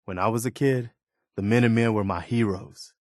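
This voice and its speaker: An idealistic, principled militia man as a male actor plays him for a video game. His voice sits on the low side, with an earnest, plain-spoken delivery that stays conversational. The sound is very dry and close, with no room to it. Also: fairly quick, a little mellow, no room sound, lightly acted. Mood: warm, sincere. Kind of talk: video game companion dialogue, naturalistic acting.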